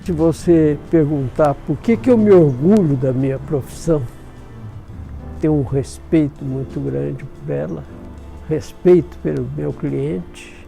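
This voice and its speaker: Gravelly older man, folksy storyteller. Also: fairly high pitch, mellow. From south of Minas Gerais, Brazil. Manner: folksy storytelling, chuckles while telling. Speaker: retired doctor. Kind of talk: telling his life story.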